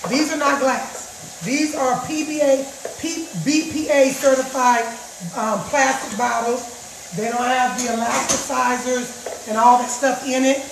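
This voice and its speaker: A middle-aged woman with a raspy voice chatting casually to her viewers about juicing, recorded in her home kitchen on a phone. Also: sits high, steady tone, unhurried, a little hiss or hum. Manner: chatty, repeats herself, addresses viewers as guys. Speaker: juice vlogger. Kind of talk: casual live chat. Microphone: phone camera across the counter. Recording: roomy kitchen echo.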